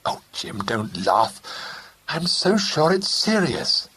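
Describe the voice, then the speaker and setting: A man's voice: on the high side, sing-song, slow pace, sharp tone, husky, voice pushed up, exaggerated voice, poor audio. A man voicing an anxious young Englishwoman, pleading and urgent.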